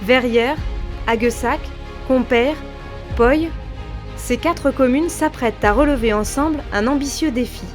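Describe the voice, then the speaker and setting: A woman delivers scripted documentary narration in a fairly high register. Music plays clearly behind her voice throughout. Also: a little slow.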